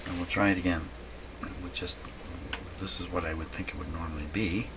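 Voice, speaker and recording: A man giving unscripted, halting commentary as he thinks aloud while doing hands-on repair work. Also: low voice, fairly even intonation. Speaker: radio repair hobbyist narrating a workshop video. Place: indoor radio repair workshop. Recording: clearly noisy workshop recording.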